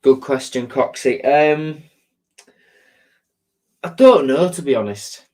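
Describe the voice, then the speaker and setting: A man's voice: medium pitch, takes it slowly, a little mellow. A man hosting a live stream, chatting casually and answering viewer comments, with a sore throat and blocked nose, talking in a rambling way with lots of ums and repeated words.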